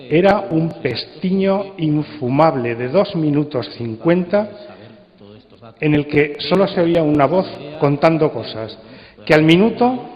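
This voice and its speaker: Spanish guy giving a talk, chatty, asks questions as he goes, echoey hall, kinda muffled. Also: on the high side. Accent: Spain. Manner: conversational, rhetorical questions to listeners. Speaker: conference speaker on radio drama. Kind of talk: informal spoken presentation. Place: large conference hall.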